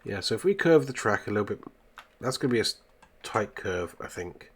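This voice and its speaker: A man's voice: on the low side, very animated, fairly quick. A man with a congested voice from a cold, giving casual gameplay commentary and muttering questions to himself as he goes. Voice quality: congested, bunged-up nose. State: full of a cold. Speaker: gaming video host. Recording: clear background noise, keyboard clicks.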